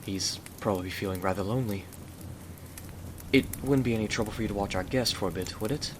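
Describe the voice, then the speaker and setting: A man acts out a gentle young Victorian gentleman, devoted and courteous, for an ASMR roleplay. His voice sits fairly low and he speaks with earnest tenderness. There is noticeable noise and rain behind him. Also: fast talker, lightly acted. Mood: affectionate.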